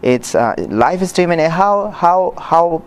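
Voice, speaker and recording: Higher-voiced man interviewing, non-native English. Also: lively intonation, smooth. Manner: halting, searching for words. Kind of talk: conversational on-location interview. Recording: noticeable background noise.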